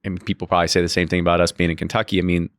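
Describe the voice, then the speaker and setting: A man's voice: low-pitched, flat delivery, very fast, a bit bright, no room sound, studio quality. A man hosting a podcast interview, talking casually and rambling, often restarting his phrases. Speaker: podcast host.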